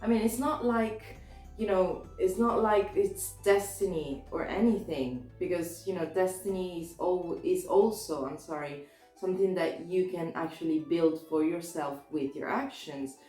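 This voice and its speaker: A woman with a sore throat, a little hoarse, giving a casual tarot reading in a chatty, hesitant way with many restarts. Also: lowish voice, measured pace, darkish tone, reverberant, soft background music. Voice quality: slightly hoarse. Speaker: tarot reader.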